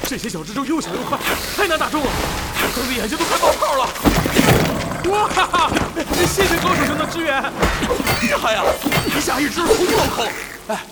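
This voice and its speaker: An excitable young gamer in a radio play. His very high voice swings freely and gushes in exclamations, in long reverb over loud music. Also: fairly quick. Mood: excited, admiring. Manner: exclamatory, gushing. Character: excitable young male gamer, admiring sidekick. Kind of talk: Chinese audio drama acting.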